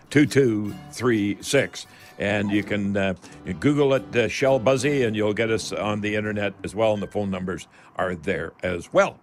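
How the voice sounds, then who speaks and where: A man hosting a radio call-in show in a folksy, rambling way, addressing listeners as friends. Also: medium pitch. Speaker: host of a home improvement radio call-in show.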